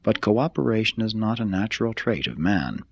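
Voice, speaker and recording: A man reading a formal text aloud as scripted narration, in a measured, deliberate manner. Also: fairly low pitch, sing-song, slightly rough, dry recording, dull audio. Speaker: audiobook reader.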